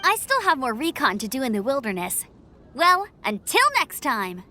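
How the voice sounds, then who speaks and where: A woman's voice: sits high, sing-song, moderate pace, pushed and bright, a little hiss or hum, full character voice. An actress playing a perky, friendly young woman scout in an anime video game, talking casually and upbeat.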